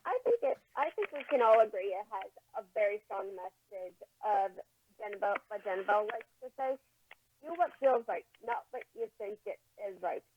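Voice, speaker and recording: A woman's voice: medium pitch, animated, normal speed. A woman co-hosting a podcast as an amateur storyteller, stumbling now and then, heard through a lo-fi phone-like connection. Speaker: podcast co-host and amateur writer.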